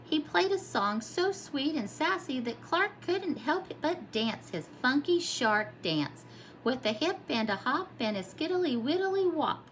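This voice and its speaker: High-voiced woman reading a children's storybook. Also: very animated, a little slow, a bit bright, slight background noise, rough recording. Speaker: pre-K teacher reading aloud.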